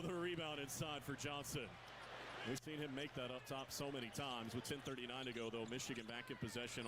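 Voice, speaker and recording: A man doing radio play-by-play of a basketball game, rapid and rising sharply on big plays. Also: medium pitch, background noise. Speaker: play-by-play announcer.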